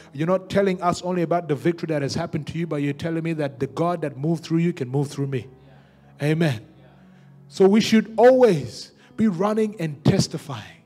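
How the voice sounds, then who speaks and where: A young man with a high voice preaches on a church stage, speaking into a handheld microphone. He builds to fervent peaks and keeps returning to amen. Soft music plays faintly underneath. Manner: preaching with rising fervor, repeated amens, handheld mic. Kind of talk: sermon.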